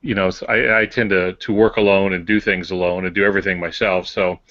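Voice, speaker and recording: A man's voice: low-pitched, fairly even intonation, a little fast, fairly bright tone, no room sound, muffled recording. An older man co-hosting a podcast as an author, talking casually in a hesitant, plainspoken way with dry humour. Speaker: podcast co-host and published author. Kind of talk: casual conversation.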